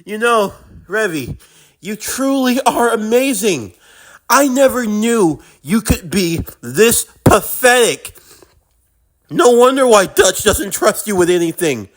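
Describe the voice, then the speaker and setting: A man's voice: high voice, expressive, slow. A man playing a defiant, bitterly sarcastic young man in an intense anime-style drama, with a profane, taunting, emphatic delivery. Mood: mocking.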